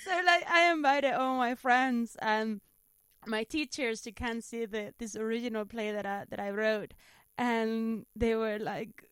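A woman from Spain with a Spanish accent, chatting casually as a comedian guest, animated and self-deprecating. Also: fairly high pitch, unhurried, smooth. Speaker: stand-up comedian, interview guest. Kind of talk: casual chat, telling anecdotes.

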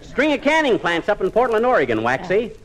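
An actor playing a boastful, wisecracking American husband with folksy slang, in a 1940s radio comedy. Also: male voice, high voice, wildly swooping pitch, fairly quick, a crowd in the background. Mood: boastful, breezy. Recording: old radio recording, studio audience, faint noise.

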